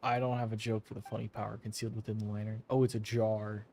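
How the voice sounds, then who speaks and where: A man's voice: on the low side, little pitch movement, quick pace, mellow, a little hiss or hum. A man who streams games, tossing out wry, goofy asides as he comments and reads along casually. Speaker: gaming streamer. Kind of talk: joking game commentary and casual reading aloud.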